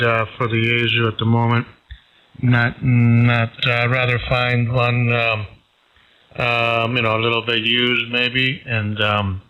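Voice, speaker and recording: A man chatting casually over amateur radio, halting and hesitant as he goes. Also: mid-range voice. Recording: dull band-limited radio transmission.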